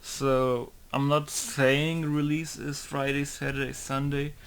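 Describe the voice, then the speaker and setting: A man's voice: medium pitch, level tone, slow, a bit bright, clean tone. Man muttering while modding a game. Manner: thinking aloud, repeating test words. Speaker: game modder. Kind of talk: casual commentary while working.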